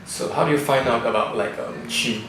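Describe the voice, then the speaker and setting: A man's voice: mid-range voice, brisk, a bit bright. A man interviewing a client, asking questions hesitantly with many fillers. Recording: echoey room from far, with background noise.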